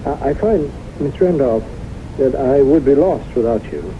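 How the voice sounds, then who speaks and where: An actor playing an earnest, formal, middle-aged businessman suitor, speaking deliberately and courteously in a radio drama. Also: mid-range voice, expressive, natural voice. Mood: courtly, earnest. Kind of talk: 1970s radio drama acting. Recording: noisy muffled old radio broadcast recording.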